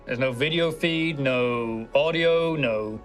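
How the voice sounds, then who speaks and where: A man improvising casually as a player in a tabletop roleplaying game, speaking in a halting way. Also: mid-range voice, fairly bright tone. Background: music.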